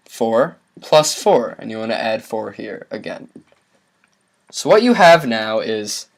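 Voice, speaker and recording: A young man presenting a math tutorial, explaining each step in an instructional way. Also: mid-range voice.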